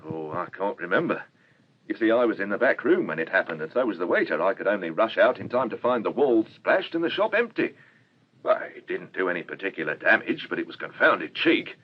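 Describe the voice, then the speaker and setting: An actor playing a courteous, talkative and somewhat bewildered cafe owner in a radio drama. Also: male voice, deep voice, sing-song, brisk. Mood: chatty, explaining. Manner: polite, chatty, explanatory. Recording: dull radio recording with faint hiss.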